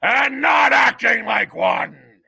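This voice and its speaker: Man reading as pompous old mouse judge, booming, slow, solemn, gravelly, storybook. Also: animated, sharp tone, no echo, studio quality, full character voice. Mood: stern. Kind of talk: audiobook character reading.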